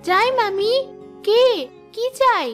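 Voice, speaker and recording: A woman's voice: extremely high pitch, slow, faint music behind. A woman voicing a kind, hardworking young village girl in a cartoon, earnest and sweet. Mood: helpful. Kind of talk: children's cartoon voice acting.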